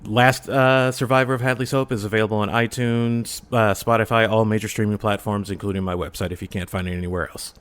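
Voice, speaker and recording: A man speaking casually as a podcast guest, telling things in an animated way and acting out reactions. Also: on the low side, fairly quick, hardly any pauses, smooth. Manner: animated storytelling, acts out reactions. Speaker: podcast guest, audio drama creator and film reviewer. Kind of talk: casual chat.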